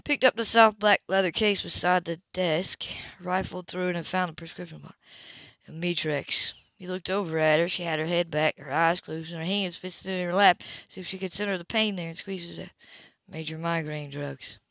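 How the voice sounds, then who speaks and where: A woman reads a novel aloud in a steady, unadorned voice. The recording is dull and lo-fi, close and dry with no room sound. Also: lowish voice, fairly quick, no echo. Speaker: amateur audiobook reader. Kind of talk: novel narration.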